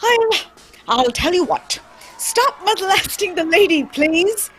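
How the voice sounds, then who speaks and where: A woman playing a confident, scheming gentleman detective in a radio drama, brisk and commanding. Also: very high-pitched, big pitch swings, exaggerated voice. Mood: polite, firm. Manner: brisk, commanding, self-assured. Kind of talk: old-time radio drama script read live.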